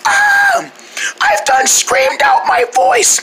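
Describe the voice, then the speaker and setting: A man voicing an excitable young cartoon son with a thin, wheezy voice, whiny and melodramatic in delivery. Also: very high-pitched, drawn-out words, shouting, music in the background, pitched above the performer's own voice, exaggerated voice, rough recording. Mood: panicked.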